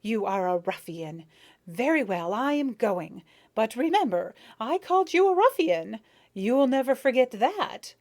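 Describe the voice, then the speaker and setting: A woman doing a shrill, piping little ant. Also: sing-song, measured pace. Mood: shrill defiance. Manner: sharp, vicious, emphatic. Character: frightened indignant small male ant, sharp and shrill.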